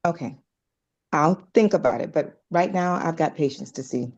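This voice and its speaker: Actress as concerned female doctor, low pitch, dark warm tone, measured, firm, dramatic table read, lo-fi sound. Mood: wry, guarded. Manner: measured, earnest.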